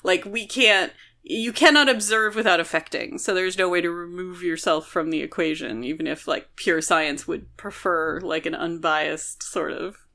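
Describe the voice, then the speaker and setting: A woman's voice: lowish voice, normal speed, hardly any pauses, sharp tone. A woman co-hosting a podcast, explaining things in casual book discussion, conversationally and peppered with "like". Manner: explanatory, conversational, frequent filler "like". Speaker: podcast co-host.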